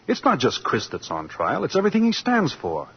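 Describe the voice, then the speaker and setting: A man's voice: on the high side, wildly swooping pitch, a little fast, husky, full character voice. An American man playing an earnest, idealistic defense lawyer in a 1940s radio drama, speaking persuasively. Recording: dull 1940s radio broadcast.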